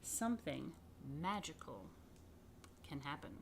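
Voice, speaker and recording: A woman reading a picture book aloud to children, expressively and unhurriedly, with dramatic pauses and chatty asides. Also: low voice, sing-song, gravelly, background noise. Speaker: rabbi reading a children's story. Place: indoors.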